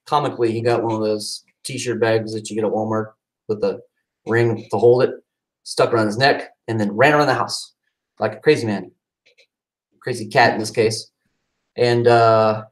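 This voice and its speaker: Man reading his own poems at home. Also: poor audio. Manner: casual aside then dramatic poetry reading with pitch swings. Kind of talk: casual chat and poetry reading.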